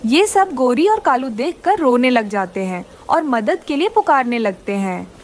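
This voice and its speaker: High-pitched woman narrating a cartoon fable. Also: very animated, unhurried, a little hiss or hum. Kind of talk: scripted moral-story narration for a cartoon.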